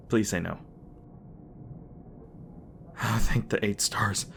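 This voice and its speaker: A man's voice: lowish voice, fairly even intonation, very slow, gravelly, a bit bright, slight background noise, heavily acted. A man playing a flustered, tender demon boyfriend in an intimate ASMR roleplay, speaking hesitantly with stammers, bashful and a little defensive. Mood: teasing, relieved. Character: flustered, tender young male demon boyfriend, secretly human-born.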